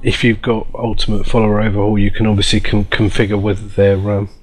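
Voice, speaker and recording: Low-pitched man, chatty game commentary. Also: completely flat delivery, fast, a little mellow. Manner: chatty, informal. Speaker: video host. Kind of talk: casual game mod showcase commentary.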